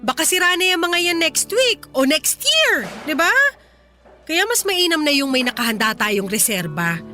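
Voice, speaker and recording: A woman's voice: sits high, sing-song, normal speed, sharp tone, exaggerated voice. A woman playing a defensive, hot-tempered middle-aged mother, scolding stubbornly in a Tagalog radio drama. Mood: defiant. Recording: music underneath.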